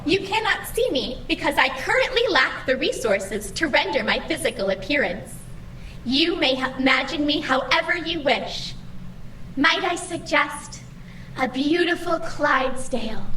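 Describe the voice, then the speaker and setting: Woman acting a high, bright, over-eager AI assistant. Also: lively intonation, unhurried, roomy sound, distant mic, slight background noise, exaggerated voice, rough recording. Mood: chirpy, earnest. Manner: formal, over-eager robotic politeness. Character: anxious, chirpy female AI assistant guiding the digital afterlife. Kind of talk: audio drama acting.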